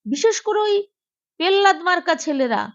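A woman's voice: extremely high pitch, lively intonation, normal speed, muffled recording, voice pushed up. A woman playing an ingratiating male private tutor, coaxing and falsely sweet, in a comic audio story. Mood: exaggerated reassurance.

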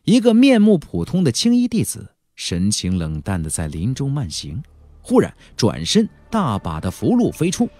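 A man's voice: medium pitch, wildly swooping pitch, normal speed, mellow, no echo. A man narrating a fantasy audiobook in a theatrical, emphatic style, drawing out key phrases for drama. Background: faint background music.

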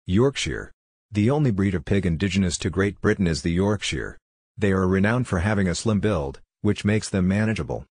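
Deep, husky male voice-over narrator. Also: expressive, moderate pace, darkish tone, no room sound, studio quality.

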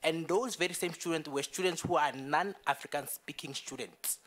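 A man's voice: fairly high pitch, moderate pace, a bit bright, slight background noise. A young man whose first language is Zulu, answering earnestly in a university debate at a desk microphone. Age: young adult. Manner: earnest, gesturing while arguing. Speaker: student panelist. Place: university meeting room.

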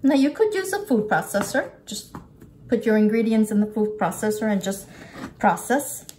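A woman's voice: mid-range voice, big pitch swings, moderate pace, mellow. An older woman giving a casual, unscripted cooking demonstration, talking through each step as she works. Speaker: cooking instructor. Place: home-style kitchen, indoors at a counter. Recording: clear kitchen clatter and background noise.

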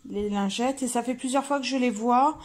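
A woman's voice: fairly high pitch, moderate pace, a little mellow. A woman, chatting informally and thinking aloud as she shows her shopping in a casual vlog. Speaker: vlogger. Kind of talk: casual vlog chat, showing purchases. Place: car interior, later a room at home.

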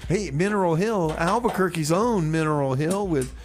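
A man's voice: fairly high pitch, normal speed. A man hosting a casual music radio show with comic banter, stammering and rambling as he repeats phrases. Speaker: radio host.

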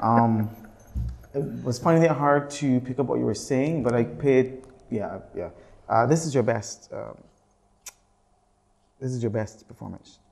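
A man's voice: medium pitch, unhurried, vocal fry, long pauses, a little mellow. A man judging a talent show, who gives playful, laughing feedback and often stumbles over his words. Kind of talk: judge's feedback. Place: studio judging table.

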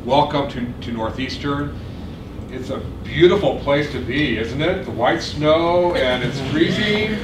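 A middle-aged man giving an energetic orientation speech in a university lecture room, often checking in with "okay?" and "right?". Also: on the high side, measured pace, background noise. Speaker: program administrator.

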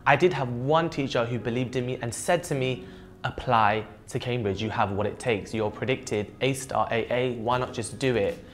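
A man's voice: medium pitch, moderate pace. Young London man, mid-range voice. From East London, England. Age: early 20s. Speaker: university student. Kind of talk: informal interview to camera. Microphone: lapel mic. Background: background music.

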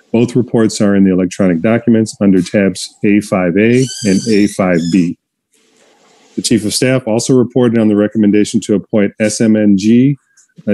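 A man with a low, mellow voice reads a committee report steadily and formally. He speaks over a video call through earphones. The sound is dry and close. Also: no room sound. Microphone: headset earphones on a video call.

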